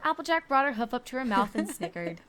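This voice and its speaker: A woman's voice: on the high side, sing-song, fast talker, fairly bright tone. A woman reading scripted story narration as the narrator.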